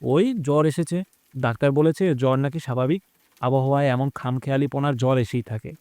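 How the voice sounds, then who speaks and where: A man voicing an earnest, soft-spoken young working man in an audio story. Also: mid-range voice, steady tone, brisk, smooth, no room sound, voice pushed down, lightly acted. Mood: matter-of-fact. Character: calm, earnest young working man devoted to his mother.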